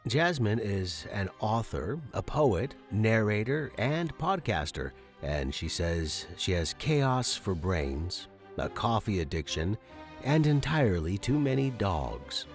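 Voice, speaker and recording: A man hosting a podcast, giving an informal, enthusiastic introduction. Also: on the low side. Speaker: podcast host.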